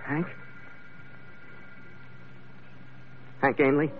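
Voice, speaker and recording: An actor playing a tough, terse sea captain in an old radio adventure drama, clipped and hard-boiled. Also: male voice, on the high side, little pitch movement, fairly quick, a little hiss or hum, close to an everyday voice. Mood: curt, suspicious. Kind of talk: 1940s radio acting. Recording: tinny old radio, loud music behind.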